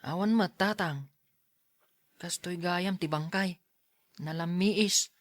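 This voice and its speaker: An actor plays a timid youth in a radio drama, earnest and a little plaintive. The delivery is deliberate, and the sound is dry and close. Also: mid-range voice, no echo, lightly acted. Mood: tentative. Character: timid earnest youth Aljun, son and younger brother.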